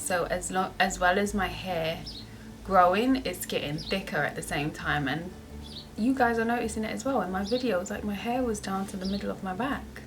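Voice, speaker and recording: A woman's voice: mid-range voice, noisy background. A young woman vlogger who answers viewer questions in a chatty, upbeat way and laughs often. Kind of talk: casual Q&A vlog.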